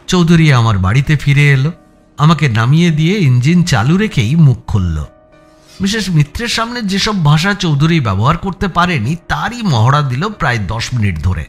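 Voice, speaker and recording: Animated storytelling amateur sleuth. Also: male voice, a little high, normal speed, slightly rough, faint music behind. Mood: rueful narration. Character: anxious self-reproaching male amateur sleuth telling his story. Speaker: first-person narrator. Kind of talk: dramatic scripted audio story narration.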